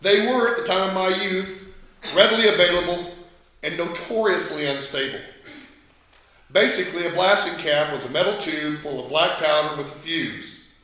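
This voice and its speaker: Bright-voiced man reading in an echoey room. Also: a little high, moderate pace. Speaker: author reading own work. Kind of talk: reading a scripted memoir story aloud. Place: indoor event room at a book launch.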